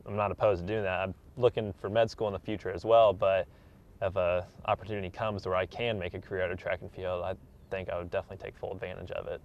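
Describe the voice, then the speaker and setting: Man in his twenties, American accent, low pitch, earnest and modest, casual interview answers. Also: level tone, fast talker, highs cut off. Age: 20s. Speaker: track athlete being interviewed.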